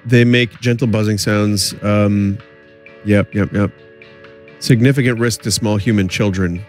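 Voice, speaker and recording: A man's voice: on the low side, fairly even intonation, unhurried, faint music behind. A man doing live game commentary as a streamer, reading in-game text aloud.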